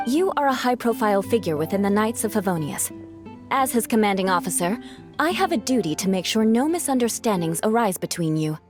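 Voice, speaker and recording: Game knight captain, played by a woman: young, reserved, formal, mid-pitched with lively intonation, music underneath. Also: brisk, close to an everyday voice. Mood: composed. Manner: composed, formal, matter-of-fact. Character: proud, reserved young female knight captain, matter-of-fact. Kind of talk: video game voice acting.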